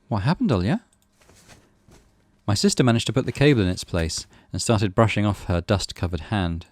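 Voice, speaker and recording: A man with a lowish voice reads a visual novel aloud in a dramatic storytelling style. His pitch moves in a lively, expressive way, and music plays faintly behind him. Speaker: gaming channel host, narrator.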